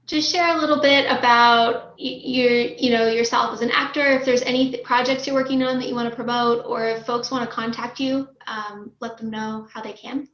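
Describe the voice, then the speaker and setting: A woman's voice: fairly high pitch, fairly even intonation, moderate pace, few pauses, a bit bright. A woman casually hosting a table read, heard on a roomy, lo-fi microphone. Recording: roomy, lo-fi, faint noise.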